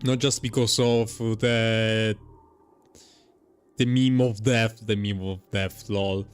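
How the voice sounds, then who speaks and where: A man giving casual gameplay commentary, reacting in a halting, rambling, informal way. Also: lowish voice, level tone, clear, resonant tone. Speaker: gamer playing and reacting.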